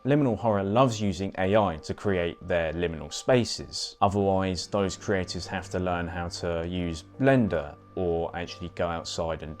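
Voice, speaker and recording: A man presenting a scripted video essay in an explanatory way, with emphatic phrasing and pointed pauses. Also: low-pitched, a little mellow. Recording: voice-over with background music. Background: music.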